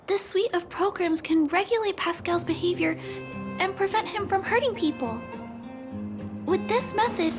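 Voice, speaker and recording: A woman voicing a gentle, earnest young girl in a video game, sincere, soft-spoken and a little hesitant. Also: very high voice. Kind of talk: video game character dialogue. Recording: game music behind the voice. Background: music.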